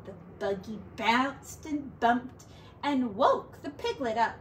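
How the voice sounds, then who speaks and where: A woman teacher reading a picture book aloud to children, slow and expressive. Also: on the high side, slight background noise.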